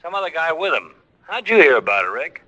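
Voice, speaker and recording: An actor playing a skeptical homicide lieutenant who fires off terse questions. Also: male voice, on the high side, very animated, fast, pushed and bright, dry recording, dull audio, exaggerated voice.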